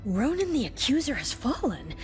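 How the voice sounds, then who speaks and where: Regal heroine over loud music. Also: female voice, high voice, big pitch swings, moderate pace. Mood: resolute. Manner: formal, resolute. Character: regal, commanding superhero warrior woman. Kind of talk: animated game cutscene acting.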